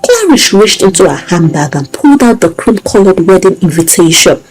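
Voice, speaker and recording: Expressive woman storyteller with lively pitch. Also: a little fast, rough recording. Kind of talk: dramatic scripted story narration. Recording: music behind the voice. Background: music.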